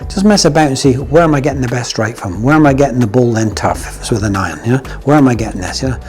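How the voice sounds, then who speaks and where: A middle-aged man with a Scottish accent talks through a golf lesson in a loose, chatty way. His voice sits at an everyday middle pitch, and he keeps checking in with a quick "yeah?". Faint music plays underneath. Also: a little mellow. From Scotland. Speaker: golf coach. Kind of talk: casual instructional talk.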